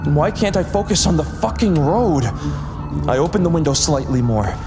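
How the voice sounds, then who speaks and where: An actor playing a distracted, ailing paramedic driver in an audio drama, thinking aloud in a strained, anxious inner monologue. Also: male voice, a little high, expressive, moderate pace. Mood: anxious, unfocused. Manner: anxious, muttering to himself. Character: distracted, ailing male paramedic driver racing to save a life. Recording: loud music and vehicle noise behind. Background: music, moving vehicle.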